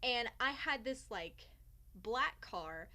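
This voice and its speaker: Bright, high-pitched young woman telling a story. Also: expressive, slow pace. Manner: theatrical, sarcastic, self-mocking. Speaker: vlogger telling a personal story. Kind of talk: casual storytime chat to camera.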